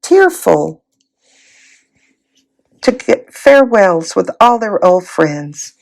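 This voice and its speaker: A woman reading a children's fantasy novel aloud in an expressive storytelling style. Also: mid-range voice, slow, creaky, rough recording. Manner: acts out dialogue in character voices. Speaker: volunteer storybook reader.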